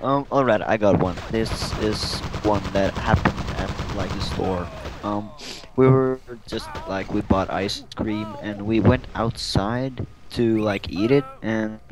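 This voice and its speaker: A young man telling stories in a casual, halting, giggly way with lots of informal slang. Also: on the low side. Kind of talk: casual chat, storytelling. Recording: very noisy amateur mic, clicks. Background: loud game gunfire.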